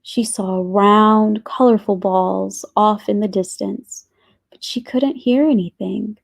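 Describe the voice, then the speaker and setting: A woman reading a children's storybook aloud with expressive, deliberate phrasing. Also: medium pitch, gravelly. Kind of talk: scripted storybook narration.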